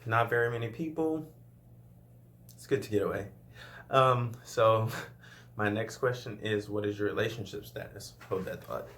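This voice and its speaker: A man's voice: lowish voice, normal speed, long pauses, a bit bright. Chatty young man from Louisiana. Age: 20s. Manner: chatty, laughs often, rambling asides. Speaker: vlogger. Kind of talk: casual first-video Q&A.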